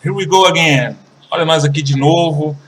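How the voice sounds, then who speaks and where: A Brazilian man teaching an English lesson, switching between languages and repeating example sentences slowly and carefully. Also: on the high side, far from the mic, background noise. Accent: Brazilian Portuguese.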